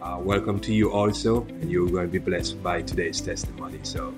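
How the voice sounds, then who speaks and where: A man's voice: sits low, fairly even intonation, fairly quick, music in the background. A man co-hosting a faith interview show, welcoming a guest and leading a prayer, with many hesitations and repeated welcomes.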